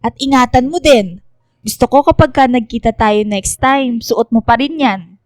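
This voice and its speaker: A woman playing a sweet, sentimental young girl with a girlish, expressive way of talking in a radio drama. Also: fairly high pitch, moderate pace, no echo, natural voice. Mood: fond. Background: music.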